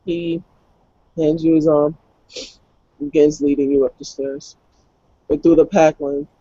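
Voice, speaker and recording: Very mellow, dark-voiced man narrating. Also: a little high, little pitch movement, unhurried, no room sound. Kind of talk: tabletop roleplay narration. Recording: dull, lo-fi online call.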